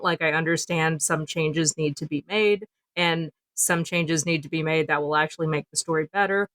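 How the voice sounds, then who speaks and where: A woman with an average-pitched voice chats casually as a podcast co-host. She is blunt and jokey, and the recording is dry. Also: a bit bright, no room sound. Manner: blunt, joking, swears freely. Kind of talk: casual chat.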